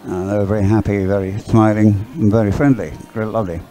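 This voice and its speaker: A low-voiced man co-commentating live on a race. He talks in a chatty, unscripted way, hesitating and repeating words as he rambles through his explanations. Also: level tone, fast talker, warm tone. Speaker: sports co-commentator, expert analyst.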